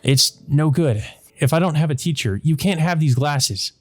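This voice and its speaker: A man's voice: fairly high pitch, normal speed, voice pushed up, close to an everyday voice. A man voicing a sad, grateful young boy in a visual novel, speaking earnestly and hesitantly. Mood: tearful, pleading.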